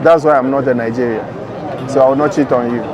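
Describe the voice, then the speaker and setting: A man's voice: mid-range voice, fairly even intonation, quick pace, low-quality recording. A Ghanaian man hosting a casual, teasing street interview. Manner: upbeat, teasing questions. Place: busy city street outdoors. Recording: loud street crowd and music.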